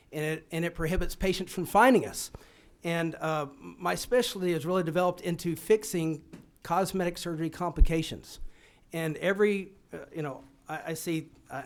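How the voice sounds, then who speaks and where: A male doctor giving testimony to a state committee, his voice sitting a little high for a man. He talks haltingly, full of ums and restarts as he makes his case. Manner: hesitant, many fillers and restarts. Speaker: physician testifying. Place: legislative committee room.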